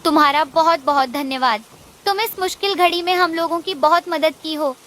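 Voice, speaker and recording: A small female cartoon bird, played by a woman, speaks in a high, bright voice. She is earnest and imploring, a hungry creature in a children's tale, with faint noise behind her. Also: moderate pace. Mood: grateful. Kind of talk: animated children's moral story dialogue.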